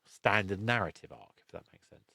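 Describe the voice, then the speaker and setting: A man's voice: on the low side, very animated, moderate pace, gravelly, sharp tone, no room sound, studio quality. A man hosting a podcast, chatting casually in a rambling, reflective way. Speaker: podcast host and interviewer. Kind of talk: casual interview chat.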